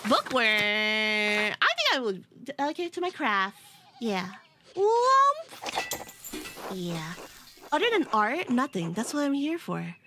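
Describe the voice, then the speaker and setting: A woman's voice: on the high side, huge pitch swings, slow pace, pauses often, background noise. A woman around thirty with a nasal voice, chatting casually and giggling as she streams a game. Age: about 30. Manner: giggly, rambling, self-teasing. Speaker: VTuber streamer. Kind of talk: casual live-stream chat while gaming.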